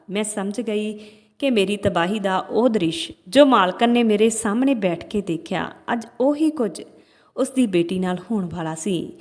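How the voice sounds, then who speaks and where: A woman's voice: medium pitch, darkish tone. A woman narrating a dramatic first-person story from a script, as a storyteller. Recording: long hall-like reverb on voice.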